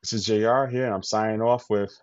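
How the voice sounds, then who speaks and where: Excitable man with a low, dull-sounding voice. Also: quick pace, no room sound. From US East Coast. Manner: enthusiastic, rambling with many fillers and restarts. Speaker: podcast co-host. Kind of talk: casual podcast chat.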